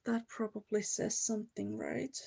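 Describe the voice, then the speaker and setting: A woman's voice: medium pitch, little pitch movement, normal speed, creaky, a little mellow, slightly rough. A Finnish woman with a Finnish accent, chatting casually with viewers during a live card-making stream and sometimes hunting for words. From Finland. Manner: chatty, searches for words, talks to viewers while working. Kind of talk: casual live crafting chat. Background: clicking crafting tools.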